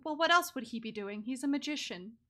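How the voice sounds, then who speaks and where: A woman streaming a video game with casual live commentary, giggly and excitable in her reactions. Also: high-pitched, a little fast.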